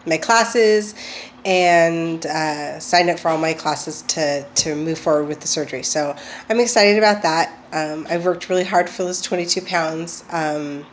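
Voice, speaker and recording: A woman's voice: sits low, a little slow, slight background noise. A woman vlogging at home, chatty and hesitant with lots of ums, getting over a cold. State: recovering from a cold. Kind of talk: casual personal video diary.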